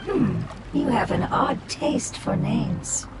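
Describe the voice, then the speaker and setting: A performer voicing a gentle, sorrowful ancient forest spirit in a video game, speaking softly and breathily in a slow, reflective way. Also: mid-range voice, lively intonation. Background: game music.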